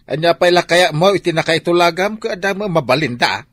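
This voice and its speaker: A man's voice: a little high, lively intonation, a little fast, a bit bright, no room sound. An actor with an Ilocano accent playing a traditional, insistent middle-aged father and farm caretaker in a radio drama.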